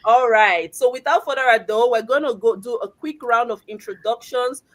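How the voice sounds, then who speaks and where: A woman's voice: a little high, moderate pace. A woman hosting a live video call, talking in an upbeat, motivational way. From Canada. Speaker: host. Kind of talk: live stream group chat.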